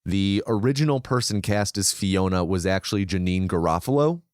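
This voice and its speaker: A man's voice: sits low, animated, normal speed, clear, resonant tone, dry recording, clean studio sound. A man co-hosting a casual film podcast, relaying researched behind-the-scenes trivia with frequent fillers and restarts. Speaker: podcast co-host.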